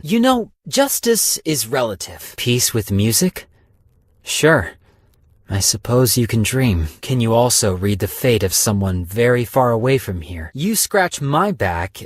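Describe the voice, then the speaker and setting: A husky, world-weary stock broker. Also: male voice, medium pitch, no echo. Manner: calm, dry, mercenary and deadpan. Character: weary, cold-blooded businessman stock broker, male, adult. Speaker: game character voice lines. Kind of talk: studio game voice lines. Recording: dry studio recording.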